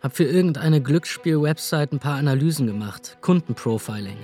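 An actor as a dry young would-be writer. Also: male voice, fairly high pitch, lively intonation, fairly quick, warm tone, faint music behind, natural voice. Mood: wry, confessional. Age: young adult. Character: drifting young would-be crime writer, dryly confessional. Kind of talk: radio drama monologue.

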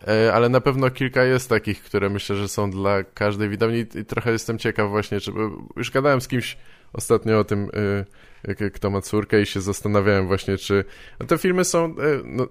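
A man hosting a casual conversation, speaking in a halting, tentative way. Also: on the low side, smooth, no echo. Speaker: podcast host, interviewer.

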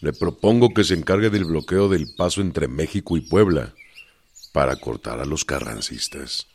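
An actor playing a commanding revolutionary general dictating a formal letter of orders in a Spanish-language radio drama. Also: male voice, a bass voice, normal speed, slightly rough, exaggerated voice. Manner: authoritative, formal.

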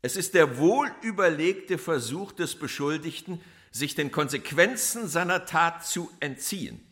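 An actor playing a cold, self-assured police inspector who testifies in formal, deliberate oratory in a radio crime drama. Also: male voice, fairly high pitch, lively intonation, a bit bright. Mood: accusing, emphatic.

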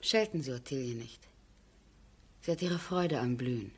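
A composed aristocratic aunt, her female voice low and husky. She speaks with gentle, worried restraint in seventies radio drama style. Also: normal speed. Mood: gently troubled. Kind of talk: 1970s German radio play acting.

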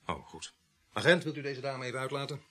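Fast-talking, harried village police sergeant. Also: male voice, medium pitch, animated, sharp tone, slight background noise. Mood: subdued, serious. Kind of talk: Dutch radio drama acting.

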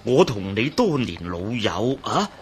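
An actor playing an obliging, careful hospital doctor in a radio drama, reassuring and murmuring, with a Hong Kong Cantonese accent. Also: male voice, medium pitch, big pitch swings, a little slow, slight background noise. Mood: friendly.

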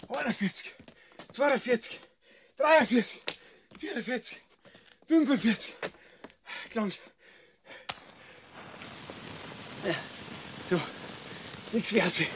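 An actor in a radio drama playing an agitated man working alone underground, talking to himself haltingly and repetitively with a Bavarian accent. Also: very high-pitched, expressive, background noise, heavily acted. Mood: concentrated. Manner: halting, repetitive self-talk.

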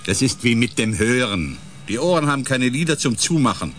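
An actor playing a level-headed spaceship psychologist in a radio drama, explaining in a conciliatory, lecturing way. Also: male voice, medium pitch, sing-song, a little fast, faint music behind, lightly acted. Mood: placating, earnest. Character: calm, balancing male ship psychologist and biologist.

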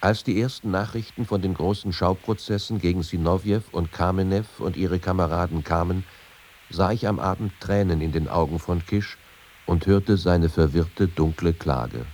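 A man's voice: low voice, moderate pace, darkish tone, a little hiss or hum. A man giving a solemn scripted reading of quoted testimony.

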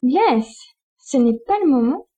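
A woman playing a secret agent's sharp-tongued young companion in a science-fiction audio drama. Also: sits high, expressive, unhurried, breathy edge, heavily acted. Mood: exasperated. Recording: dull, slightly muffled sound.

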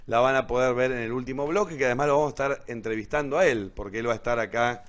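A man's voice: medium pitch, quick pace. A man hosting a live online show, explaining things and checking in with short tag questions. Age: adult, teacher and writer. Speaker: host. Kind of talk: presenting a live online radio theatre show.

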